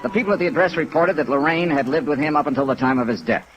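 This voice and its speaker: An actor playing a dry, persistent insurance investigator and narrator in 1950s radio style, wry, clipped and matter-of-fact. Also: male voice, a little high, racing, dull audio, natural voice. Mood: matter-of-fact narrating. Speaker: lead character and narrator. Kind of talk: 1950s radio detective acting. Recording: noisy vintage radio recording.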